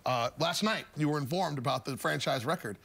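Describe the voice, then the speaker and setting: A middle-aged man hosting a postgame TV studio interview, joking and animated as he asks questions. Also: a little high, a little fast, gravelly. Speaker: studio host. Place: TV studio set.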